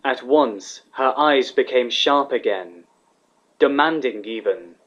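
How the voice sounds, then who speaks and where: A man's voice: mid-range voice, lively intonation, slow, a bit bright. A man reading scripted fiction as the narrator of a dramatic story. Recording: slightly dull, lo-fi recording.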